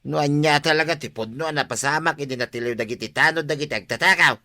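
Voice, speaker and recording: Nosy teasing pal, Ilocano accent, very forceful tone, dry close sound, radio comedy drama. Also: male voice, fairly high pitch, quick pace, no room sound. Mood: eager. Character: nosy, easily baffled male village pal.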